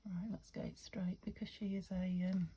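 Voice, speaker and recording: Soft-spoken croaky British craft vlogger woman. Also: on the low side, little pitch movement, moderate pace, a little hiss or hum. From United Kingdom. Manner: soft-spoken, thinking aloud, often half-whispering. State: a little croaky. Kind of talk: casual craft-along chat.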